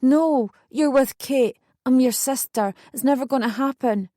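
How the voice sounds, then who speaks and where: A woman's voice: sits high, unhurried, warm tone, no room sound, clean studio sound, voice pushed up. A woman voicing a distraught teenage girl in an audiobook, urgent and pleading with a raised voice. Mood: shocked refusal. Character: distraught teenage sister, panicked and desperate.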